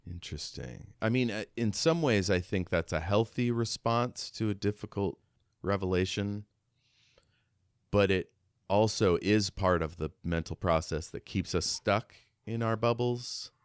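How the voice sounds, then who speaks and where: A man's voice: on the low side, unhurried, clean tone, no echo, clean studio sound. A man co-hosting a podcast, talking through a book in a casual, reflective, conversational way. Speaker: podcast co-host.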